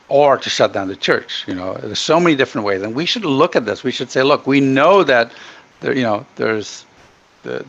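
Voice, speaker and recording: Male guest, middle-aged, from Ghana. Gravelly, halting, opinionated delivery. Muffled connection. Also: lowish voice, fairly bright tone. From Ghana, grown up in Africa and the Middle East. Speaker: podcast guest. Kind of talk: earnest opinionated interview talk.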